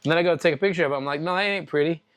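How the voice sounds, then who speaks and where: A woman's voice: low voice, rapid-fire. A woman co-hosting a podcast, chatting casually and tipsily while she cooks in a home kitchen, rambling and making fun of herself with frequent laughs. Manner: rambling, self-deprecating, laughs often. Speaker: podcast co-host. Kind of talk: tipsy casual chat while cooking.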